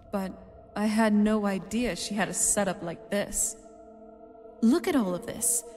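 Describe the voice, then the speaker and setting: A woman's voice: mid-range voice, a little mellow, lightly acted. An actress playing a curious young female vampire in a video game, speaking in a conversational, wondering way. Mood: awed, curious. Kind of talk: video game character dialogue. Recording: long hall reverb, music behind.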